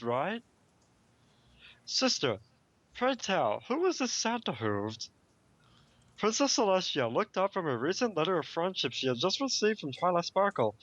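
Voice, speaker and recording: Dull lo-fi, animated amateur story reader. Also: mid-range voice, no room sound. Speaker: podcast participant reading aloud. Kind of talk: amateur story narration with acted dialogue.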